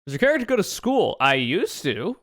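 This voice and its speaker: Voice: mid-range voice, very animated, fairly quick, a bit bright, no echo. Dry-sounding young streamer, man's voice. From United States. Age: 20s. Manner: joking, sarcastic, rambling asides to chat. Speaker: livestreamer. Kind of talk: casual livestream chat, reading questions aloud and answering.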